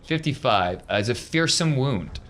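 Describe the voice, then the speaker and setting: A man's voice: medium pitch, animated, measured pace, a bit bright. A man acting as game master, casually narrating tabletop game action and explaining rules. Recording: noisy room recording.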